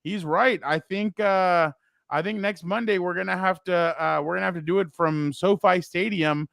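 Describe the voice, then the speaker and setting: A fairly high-voiced man hosts a casual sports show, loud and rambling with frequent laughs. His voice is close and dry, with no room sound around it. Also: fairly even intonation, moderate pace, gravelly, dry recording. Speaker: co-host.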